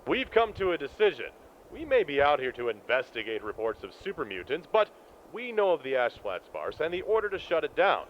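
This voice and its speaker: An actor playing a haughty, zealous soldier who gives stiff official orders in an audio drama. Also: male voice, on the high side, very animated, a little fast. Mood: stern. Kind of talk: post-apocalyptic audio drama. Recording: muffled, faint noisy background.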